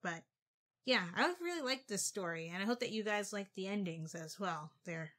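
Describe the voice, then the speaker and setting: A woman's voice: medium pitch, animated, moderate pace, fairly bright tone. A woman commentating casually as she reacts to a story, in a chatty style.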